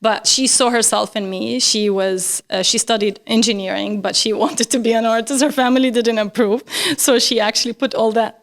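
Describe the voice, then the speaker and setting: A woman's voice: on the high side, fairly even intonation, fast talker, hardly any pauses. A young woman from Syria, a guest artist talking casually and thoughtfully, laughing often. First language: Arabic. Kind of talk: casual interview chat.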